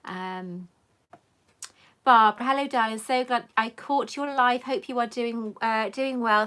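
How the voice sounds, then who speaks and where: A woman's voice: a little high, sharp tone. A middle-aged woman with a mixed southern English and Welsh accent, chatting on a live Q&A stream and reading viewer comments aloud. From England, raised in Wales. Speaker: host.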